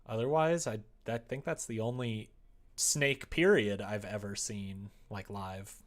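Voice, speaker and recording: A man's voice: on the low side, steady tone, measured pace. Casual, rambling man chatting on a livestream. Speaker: live streamer. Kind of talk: casual chat with stream viewers, reading out their comments.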